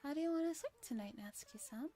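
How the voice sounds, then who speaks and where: A woman puts on a young female android from a visual novel, earnest and eager. The voice is high and girlish, with a polite, naive manner. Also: expressive, fast, warm tone.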